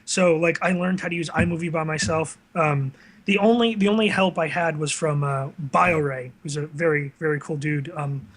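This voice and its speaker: A man's voice: fairly high pitch, steady tone, moderate pace, fairly bright tone. A man hosting a casual talk show, speaking conversationally with an enthusiastic manner.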